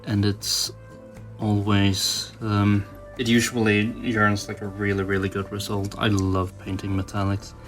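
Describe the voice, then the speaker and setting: A man's voice: lowish voice, monotone, measured pace. Casual rambling man over background music. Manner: informal, self-deprecating, thinking aloud. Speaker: toy customizer, channel host. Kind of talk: casual rambling hobby commentary while painting.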